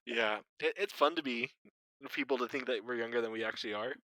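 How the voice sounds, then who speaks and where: A man's voice: medium pitch, quick pace, background noise. A young adult man co-hosting a podcast, chatting casually and laughing often. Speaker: podcast co-host. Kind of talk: casual chat.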